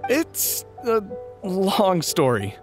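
A man's voice: high voice, huge pitch swings, extremely slow, soft background music, full character voice. A man playing a nervous young soldier in a video game, hesitant, formal and deferential. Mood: downcast. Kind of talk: scripted video game voice acting.

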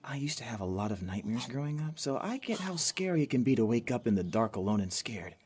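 A man's voice: mid-range voice, very animated, fast, darkish tone, breathy edge. A man with an American accent playing an earnest, jittery, caring young survivor in a zombie apocalypse audio drama. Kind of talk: fan audio drama acting.

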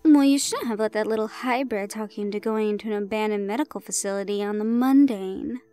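A woman's voice: mid-range voice, fairly quick, mellow, clear, resonant tone, soft background music, natural voice. A woman playing a teasing, chaos-loving villainous fae in an audio roleplay drama, with a theatrical drawl. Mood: amused, breezy.

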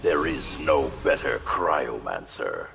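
A man acting a proud, imposing fighter in a video game, with a deliberate, theatrical delivery. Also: extremely low pitch, sing-song, vocal fry, heavily acted. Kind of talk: video game character dialogue. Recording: long reverb, faint music.

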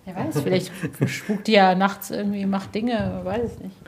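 A woman's voice: medium pitch, fairly even intonation, moderate pace. A woman reporting casually on location, conversational and responsive to what she is being shown. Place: inside a museum, on location. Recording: noisy on-location recording.

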